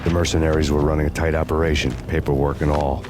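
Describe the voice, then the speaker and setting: A brooding, hard-boiled detective narrates a noir video game in an extremely deep voice. The delivery is deadpan, sardonic and poetic, heavy with weariness, with music playing behind it. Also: male voice, fast talker. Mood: brooding, resolute. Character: brooding, world-weary male detective narrating his own tragedy.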